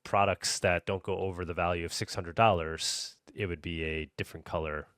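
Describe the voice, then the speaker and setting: Low, clear-voiced man explaining casually. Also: fairly even intonation, brisk, a bit bright, dry recording, clean studio sound. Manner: explanatory, often checking with "right?". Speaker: marketplace developer explaining features.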